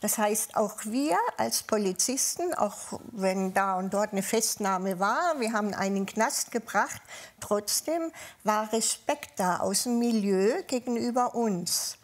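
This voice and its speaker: Female interview guest from southern Germany, mid-pitched, recounting her working life frankly, with searching pauses. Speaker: interview guest, former police officer. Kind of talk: personal recollection in conversation.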